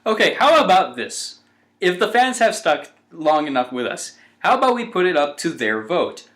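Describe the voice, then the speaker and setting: A man's voice: a little high, sing-song, moderate pace, a bit bright. A young man co-hosting a podcast, chatting casually in a wry, playful way. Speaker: podcast co-host. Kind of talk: casual fan chat.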